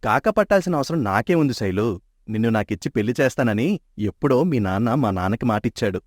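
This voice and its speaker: A man plays a sharp-tongued young man who trades jokes with an old friend and talks others round with ease. His voice has a middling male pitch and is recorded dry, right at the mic. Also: lively intonation, no room sound, close to an everyday voice. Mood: teasing. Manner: playful, quick-tongued and persuasive. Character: cheeky young man, bickering childhood friend. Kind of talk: animated storybook dub. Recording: dry close studio mic.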